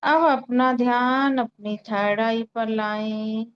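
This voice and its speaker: Very slow-speaking woman guiding meditation. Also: a little high, smooth, dull audio. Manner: calm, soothing, unhurried. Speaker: meditation guide and Reiki healer. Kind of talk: guided meditation, slow instructions with long pauses.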